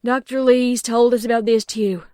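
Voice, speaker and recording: A woman's voice: fairly high pitch, fairly even intonation, moderate pace, a little mellow, no room sound, clean studio sound, lightly acted. A woman voicing a caring, protective big-sister farm pony from a cartoon, folksy, with a Southern US accent. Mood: guarded.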